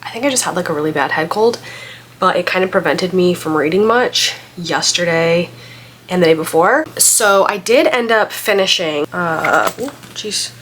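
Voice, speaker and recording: A woman with a somewhat low voice, stuffy and congested from a lingering cold. She chats in a loose, rambling way, full of little fillers. There is a faint hiss of noise behind her. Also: expressive, normal speed, fairly bright tone. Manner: chatty, rambling, many "like"s and "um"s. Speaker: book vlogger. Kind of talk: casual vlog chat.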